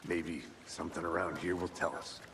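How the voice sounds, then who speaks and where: A man playing a laconic, easygoing agent partner in a video game, low-key and brief. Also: steady tone, moderate pace, background noise, close to an everyday voice. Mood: calm. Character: laconic, easygoing male FBI partner on a case.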